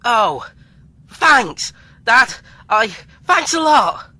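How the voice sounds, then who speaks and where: Male actor: timid young son, bright and animated, soft and hesitant. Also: very high voice, extremely slow, full character voice. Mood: eager. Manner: hesitant, soft-spoken, then eager. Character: young man, timid, eager son of a commodore. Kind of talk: fan audio drama acting.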